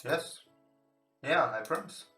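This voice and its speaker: Slow-talking man in a roomy, distant recording. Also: mid-range voice. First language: not English. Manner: hesitant, halting English. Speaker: let's play host. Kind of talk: casual let's play commentary, reading game text aloud. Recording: roomy, distant mic with music behind. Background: soft guitar game music.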